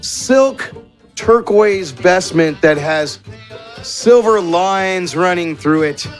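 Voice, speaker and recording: Male game master, slightly high voice, unhurried improvised narration with drawn-out descriptions, soft music underneath. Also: expressive. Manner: searching for words, drawn-out descriptive phrasing.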